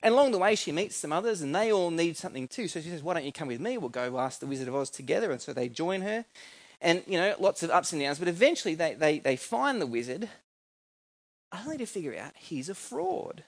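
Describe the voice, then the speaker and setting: A man's voice: fairly high pitch, very animated, a little fast, no echo. A man with an Australian accent preaching a sermon in an informal, conversational way, often putting questions to his listeners. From New South Wales, Australia. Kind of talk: sermon with scripture reading and personal anecdotes.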